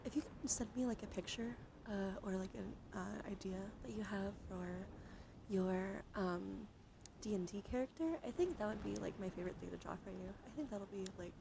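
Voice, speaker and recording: A woman chatting casually on an ASMR livestream, soft-spoken and at times slipping into a whisper. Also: medium pitch, vocal fry, noisy background. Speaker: live streamer.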